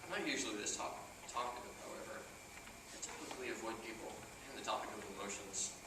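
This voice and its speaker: A man's voice: lowish voice, fast talker, lightly acted. A man acting an awkward, talkative loner in an amateur stage play, with wordy, stilted, overly precise phrasing. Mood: subdued, confessional. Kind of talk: amateur one-act stage comedy-drama acting. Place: theatre stage, recorded from a distance. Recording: echoey theatre, distant mic, loud noise.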